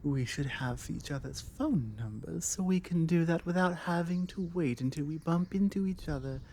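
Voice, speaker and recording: A woman with a British accent playing a forward, confident female vampire in an intimate ASMR roleplay, teasing and coaxing with deliberate pauses. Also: sits low, slight background noise. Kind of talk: ASMR roleplay, intimate one-sided conversation. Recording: steady outdoor ambience with birdsong. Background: birdsong and outdoor ambience.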